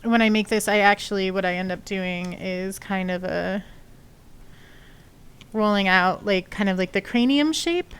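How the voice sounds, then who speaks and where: Sniffly woman rambling thru a clay tutorial, lots of ums, smooth clear voice. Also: mid-range voice, a little slow. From not from Texas. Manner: rambling, chatty, many fillers. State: sniffly from allergies. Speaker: sculpting streamer. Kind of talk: casual live-stream tutorial chat. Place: home studio, lives in Texas.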